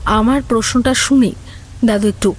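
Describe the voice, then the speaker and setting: A woman's voice: fairly high pitch, normal speed, a little hiss or hum, lightly acted, low-quality recording. A woman playing a grandchild who narrates listening to her grandfather's spooky tale in a scripted horror audio story. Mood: hushed unease.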